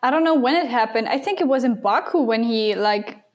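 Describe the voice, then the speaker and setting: High-voiced woman, German native speaker. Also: lively intonation, fairly quick. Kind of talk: casual chat.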